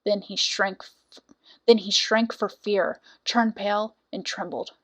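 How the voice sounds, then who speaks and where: A woman reading a scripted fairy tale as a storyteller, with dramatic, deliberate phrasing. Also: mid-range voice, steady tone. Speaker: storyteller, audiobook narrator.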